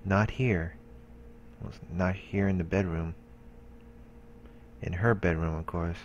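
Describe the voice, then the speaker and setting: A let's play host reading a visual novel's narration aloud, with casual asides. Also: male voice, low-pitched, fairly even intonation, slow, muffled recording, a little hiss or hum.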